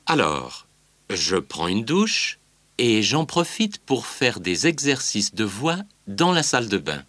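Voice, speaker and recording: A man's voice: fairly low pitch, wildly swooping pitch, takes it slowly, a bit bright, no echo, heavily acted. A man playing a laid-back touring singer. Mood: candid. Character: male singer on a promo tour, relaxed and candid. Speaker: actor in a scripted listening-test interview. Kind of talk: scripted dialogue reading.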